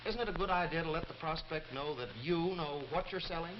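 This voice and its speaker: An actor playing a brisk, skeptical salesman in a 1930s training film, speaking in a probing, matter-of-fact way. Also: fairly high pitch, lightly acted. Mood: skeptical, curious. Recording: dull, noisy 1930s film soundtrack.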